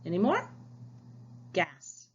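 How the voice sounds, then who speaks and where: Slow-paced, animated woman teacher reading aloud. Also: on the low side, highs cut off, a little hiss or hum. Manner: instructive, addresses children directly with questions and prompts. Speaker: teacher reading a picture book aloud to young pupils. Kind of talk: read-aloud science lesson.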